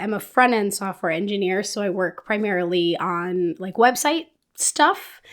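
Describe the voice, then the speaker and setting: A woman hosting a knitting podcast in a casual, rambling chat, her voice hoarse as she recovers from being sick. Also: medium pitch, fairly bright tone. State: hoarse, under the weather with a recovering lost voice.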